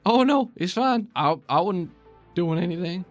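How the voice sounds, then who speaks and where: Game master voicing a higher-pitched, sheepish alien woman. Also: lively intonation, moderate pace, faint music behind, close to an everyday voice. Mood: startled, sheepish.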